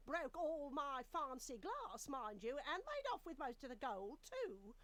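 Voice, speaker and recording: A woman's voice: high-pitched, poor audio. A woman playing an ancient female dragon, crotchety, coquettish and chatty, in a theatrical adventure game audio drama. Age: older. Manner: theatrical, teasing, fussy, grandmotherly chatter.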